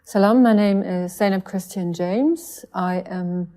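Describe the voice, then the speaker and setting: A middle-aged woman from Scotland, a writer and religious student, speaking to camera in a measured, hesitant way with frequent fillers and restarts. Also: fairly low pitch, warm tone, soft background music. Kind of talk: speaking to camera, personal commentary.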